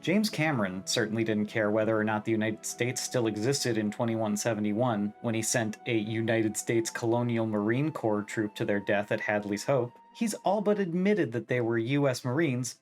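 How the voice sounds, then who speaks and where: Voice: mid-range voice, normal speed, hardly any pauses, soft background music. A video essayist and host delivering scripted narration with casual, self-deprecating asides and the odd swear word.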